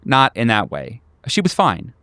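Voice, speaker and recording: A man's voice: mid-range voice, wildly swooping pitch, a little slow, pushed and bright, no echo, heavily acted. A man voicing a painfully awkward young recluse in his twenties in a tabletop horror game, stammering, repeating himself and apologizing. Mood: anxious, defensive.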